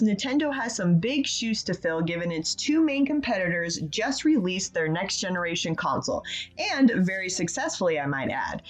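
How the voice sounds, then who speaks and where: A woman presenting scripted video game news as a regular segment contributor on a casual show. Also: medium pitch.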